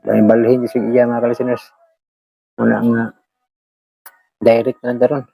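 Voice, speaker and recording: A Filipino vlog host with a Visayan accent chatting casually to listeners, rambling in a halting, repetitive and apologetic way. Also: medium pitch, little pitch movement, gravelly, breathy edge, no room sound, muffled recording. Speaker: vlog host who shares radio dramas.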